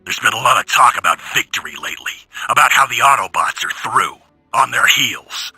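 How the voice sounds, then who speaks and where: A sardonic robot soldier from a video game. The voice is sharp and raspy, gruff and full of wry complaint, recorded very dry and close. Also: male voice, mid-range voice, animated, a little fast, dry recording, soft background music, exaggerated voice, rough recording. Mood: wary, grim. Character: grumbling, sardonic male robot soldier giving war reports. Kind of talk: video game audio log acting.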